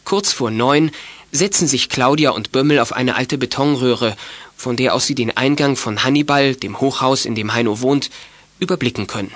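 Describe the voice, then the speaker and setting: A man's voice: medium pitch, normal speed, fairly bright tone, no room sound, lightly acted. A man narrating a children's detective audio drama in scripted storytelling style.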